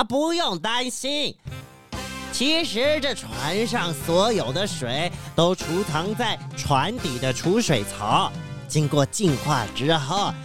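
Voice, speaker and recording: An actor playing an earnest, steady yacht captain, explaining things carefully in a children's mystery audio drama. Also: male voice, high-pitched, heavily acted. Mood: proud, explaining.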